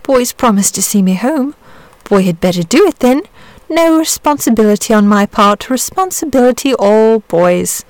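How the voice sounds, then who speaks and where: A woman voicing a genial, drowsy male dragon who murmurs slowly. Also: a little high, lively intonation, a little mellow, no echo, close to an everyday voice, rough recording. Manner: slow, sleepy murmuring.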